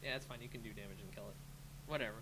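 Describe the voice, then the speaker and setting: A man's voice: on the low side, rapid-fire, background noise. A man giving casual live commentary on a game he is playing, talking to himself playfully as he thinks. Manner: muttering to himself, self-talking, playful sing-song filler. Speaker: host of a card game channel, playing.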